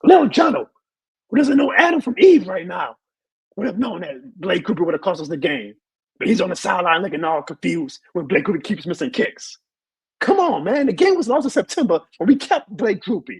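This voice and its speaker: A hoarse man who has lost his voice, hosting a live sports show and ranting with emphatic repeated lines. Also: sits high, very animated, fairly quick, dry recording. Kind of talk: live sports rant and chat reading.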